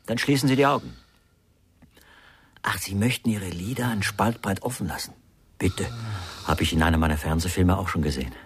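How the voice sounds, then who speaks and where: An actor playing a coldly methodical, mocking murderer in a radio crime drama, speaking deliberately and insinuatingly, often dropping to a murmur. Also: male voice, sits low, gravelly, breathy edge, dry recording, full character voice. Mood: mocking, coaxing. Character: coldly methodical murderer, American writer husband, mocking and controlled. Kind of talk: German radio crime drama acting with interior monologue.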